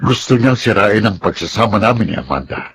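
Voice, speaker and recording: A man's voice: lowish voice, big pitch swings, a little fast, a bit bright, a little hiss or hum. An actor playing an indignant married man in a Filipino radio drama, emphatic and melodramatic, with a Filipino accent. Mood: grave, troubled. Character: indignant married man, accusing his seductive stepdaughter.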